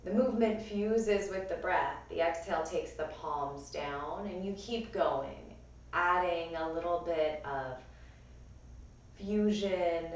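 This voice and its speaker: A woman with a raspy, strained voice gently cueing breath and movement as a yoga and pilates instructor. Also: low-pitched. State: strained voice from overuse. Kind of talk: guided class instruction. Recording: echoey room, voice from far off.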